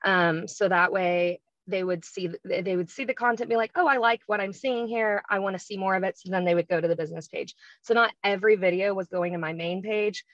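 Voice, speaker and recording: A woman talks through her experience in a relaxed, conversational way and fills her pauses with ums. The sound is dry and a bit dull, like a lo-fi recording. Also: medium pitch, dry recording. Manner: chatty, frequent ums, explains step by step. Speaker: podcast guest. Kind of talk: casual interview talk.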